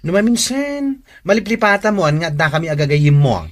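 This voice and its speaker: A woman's voice: on the low side, big pitch swings, brisk. A woman playing a blunt, teasing and reproachful friend in a radio drama, with an Ilocano accent. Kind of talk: Filipino radio drama acting.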